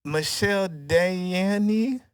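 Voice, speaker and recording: A man's voice: high-pitched, extremely slow, clear, resonant tone, dry recording, studio quality. A man hosting a podcast interview, joking and slangy, laughing often. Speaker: podcast host. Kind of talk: casual interview banter.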